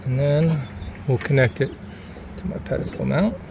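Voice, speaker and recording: A man's voice: mid-range voice, normal speed, creaky, very noisy. A man giving a casual, plainspoken hands-on how-to narration as he works on a project. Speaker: DIY video creator.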